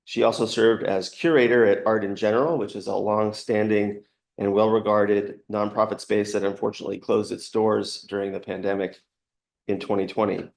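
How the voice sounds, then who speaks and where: A man reading a measured, formal introduction of a guest lecturer in a lecture hall. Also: fairly low pitch, flat delivery, gravelly, darkish tone. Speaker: event host introducing a speaker.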